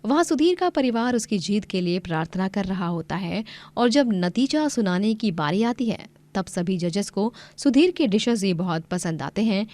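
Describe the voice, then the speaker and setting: A woman's voice: medium pitch, a little mellow, dry recording. A woman narrator reading a scripted moral story.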